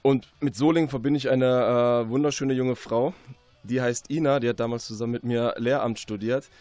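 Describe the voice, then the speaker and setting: A man's voice: mid-range voice, steady tone, normal speed, darkish tone, no echo. Male author as radio guest, mid-range voice, reflective and hesitant delivery, dry recording, faint music bed. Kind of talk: conversational radio interview.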